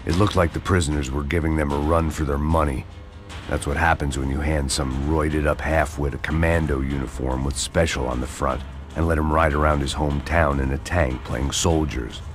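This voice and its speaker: An actor playing a world-weary middle-aged American action hero, narrating a game in sardonic, deadpan noir style. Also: male voice, very deep voice, normal speed. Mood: wry, weary. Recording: loud music behind the voice. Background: loud music.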